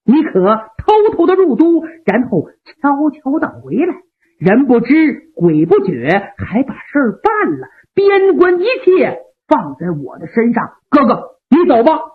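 A woman's voice: sits high, sing-song, a little slow. A woman voicing a loyal male officer urging his commander, in an urging, reassuring manner. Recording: phone-like narrow band.

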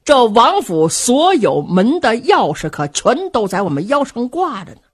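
A woman voicing a loyal male steward who speaks in a hushed, cautious and knowing way. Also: on the high side, big pitch swings, unhurried, slightly rough, full character voice. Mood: wary, hushed. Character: loyal male palace steward, wary and sly. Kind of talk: traditional storytelling.

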